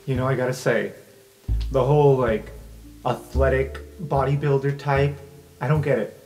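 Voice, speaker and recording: A man's voice: mid-range voice, steady tone, normal speed, a little mellow, soft background music, lightly acted. A man playing a guarded, self-deprecating vampire student who speaks bluntly and hesitantly in improvised tabletop roleplay. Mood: awkward, dry.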